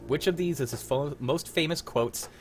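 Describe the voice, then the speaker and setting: A man's voice: fairly high pitch, normal speed, a little hiss or hum. A man hosting a casual livestream, chatting informally with friends and running a trivia game in an easygoing way. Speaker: host and quizmaster.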